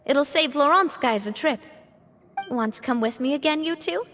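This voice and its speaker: A high-voiced young guild boss. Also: female voice, normal speed, fairly bright tone, faint music behind. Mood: friendly. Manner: thoughtful, earnest, firm. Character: young woman boss of a guild, warm-hearted, resolute.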